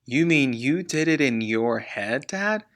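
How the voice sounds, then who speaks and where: A man's voice: medium pitch, expressive, takes it slowly, fairly bright tone. A man voicing an admiring young son in a storybook, eager and easily impressed. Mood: eager, awed.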